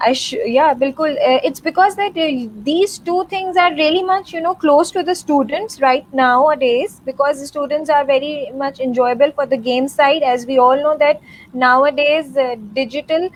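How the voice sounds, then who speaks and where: A woman co-hosts an online teachers' panel, supportive and quick to answer with short acknowledgments. Her voice is quite high. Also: rough recording. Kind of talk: conversational panel discussion.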